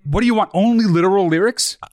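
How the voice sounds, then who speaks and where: A man's voice: sits high, a little mellow, dry recording. A man co-hosting a podcast, teasing his partner and pushing back on his answers. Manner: teasing, challenging, quick to push back. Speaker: podcast co-host. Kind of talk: casual banter.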